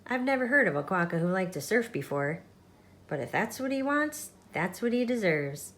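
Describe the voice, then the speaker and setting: A woman's voice: mid-range voice, big pitch swings, normal speed, fairly bright tone. A woman voicing an admiring, astonished onlooker in a children's storybook, speaking emphatically and with wonder. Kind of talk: children's storybook character reading.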